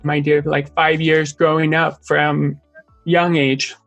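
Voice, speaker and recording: A young man with the accent of a deaf speaker, an artist talking earnestly and openly as an interview guest, sometimes halting over a word. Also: on the high side, level tone, vocal fry, fairly bright tone, no echo, highs cut off, faint music behind. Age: young adult. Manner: earnest, open, at times halting speech. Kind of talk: casual interview conversation.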